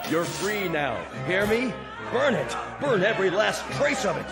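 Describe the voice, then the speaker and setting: An actor playing a bitter, domineering military rabble-rouser in a radio drama, with a scornful, commanding, rhetorical delivery. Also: male voice, high-pitched, very animated, unhurried, a loud crowd, exaggerated voice. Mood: triumphant fury. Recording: studio radio drama, loud music underneath.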